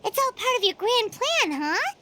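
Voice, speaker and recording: A woman voicing a small, excitable, childlike fairy girl in a video game, with a squeaky, exclamatory delivery. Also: moderate pace, a little hiss or hum, full character voice. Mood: eager, whiny. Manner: exclamatory, speaks of herself in third person.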